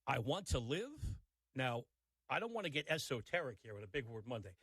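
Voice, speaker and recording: A man's voice: medium pitch, big pitch swings, a little fast, fairly bright tone, breathy edge, no room sound. An older man hosting a sports talk radio show, giving an opinionated monologue with wry asides. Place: radio studio.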